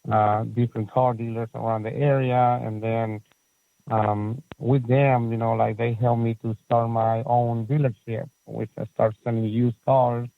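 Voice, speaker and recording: Chilean guy in his 40s, spanish accent, rambles w lots of fillers, flat tone, sounds like a phone call. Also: medium pitch, a little slow, creaky, no echo. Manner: rambling, many fillers and restarts. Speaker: guest, soccer facility owner and coach. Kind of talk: casual interview talk. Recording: tinny phone-like line.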